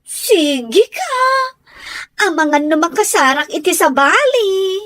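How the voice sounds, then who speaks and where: A woman's voice: extremely high pitch, big pitch swings, slow, a bit bright, no echo, full character voice. A woman playing an eager, chatty young best friend in an Ilocano radio drama, with an Ilocano accent. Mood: teasing.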